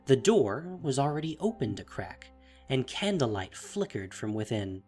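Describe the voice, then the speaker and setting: A man's voice: medium pitch, big pitch swings, moderate pace, a little mellow, soft background music, lightly acted. A man narrating a fantasy audio drama in a dramatic, scripted style.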